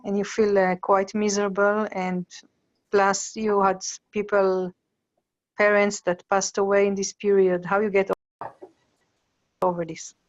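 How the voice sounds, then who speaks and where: A woman from Slovakia asking a question on a call, speaking hesitantly with many pauses and fillers. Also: mid-range voice, level tone, dull audio. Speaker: caller. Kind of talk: question from a participant.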